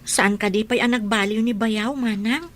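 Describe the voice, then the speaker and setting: A woman's voice: fairly high pitch, fairly even intonation, moderate pace, fairly bright tone, slight background noise, close to an everyday voice. A woman acting a sympathetic, inquisitive younger sister in a radio drama. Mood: concerned, probing.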